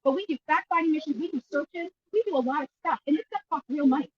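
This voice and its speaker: A woman's voice: high-pitched, fast, no room sound, recorded from a distance, muffled recording. A woman livestreamer and activist giving an impromptu press interview, speaking emphatically and indignantly and repeating phrases for force. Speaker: YouTube livestreamer and missing-person activist being interviewed. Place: at a county jail, press interview.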